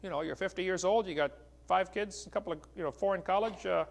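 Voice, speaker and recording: High-pitched, creaky American man preaching. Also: quick pace. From United States. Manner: folksy, polemical, addresses listeners as "folks". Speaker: evangelist lecturer. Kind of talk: religious lecture with read-out quotations.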